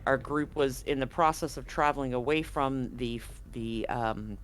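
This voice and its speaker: A woman's voice: rumbling low, moderate pace. Woman, game master, chatty, rambling, restarts often, faint hiss. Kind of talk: recapping a tabletop game to a live audience.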